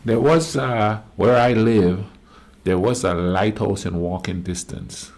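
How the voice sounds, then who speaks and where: A man in his fifties from the Caribbean, reacting to a travel video in a slow, musing way with drawn-out fillers. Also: fairly low pitch, darkish tone, faint music behind. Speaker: reaction channel host. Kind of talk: casual reaction commentary.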